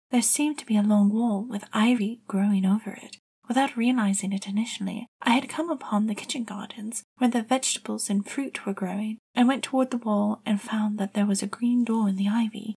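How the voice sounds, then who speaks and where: A lonely, curious young girl, played by a woman tells her own story in a soft, hushed way. The voice is breathy and a little high for a woman, like a storybook told in an audio drama. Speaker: narrator and lead actor in an audio drama. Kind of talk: first-person storybook narration.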